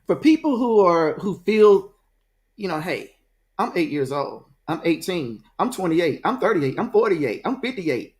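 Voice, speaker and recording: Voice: mid-range voice, big pitch swings, measured pace, darkish tone. An interview host asking loose, chatty, rambling questions.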